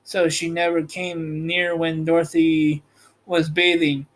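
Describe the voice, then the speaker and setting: A man narrates a storybook at a slow pace. He reads from a script in a halting way, sometimes tripping on a word and taking it again. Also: fairly high pitch, level tone. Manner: halting, stumbles over words while reading. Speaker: audiobook narrator and host.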